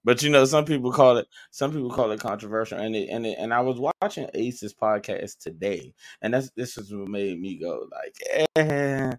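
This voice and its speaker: A man's voice: mid-range voice, normal speed, hardly any pauses. A man co-hosting a podcast in casual chat, animatedly retelling what others said. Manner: animated storytelling, quoting others. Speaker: podcast co-host.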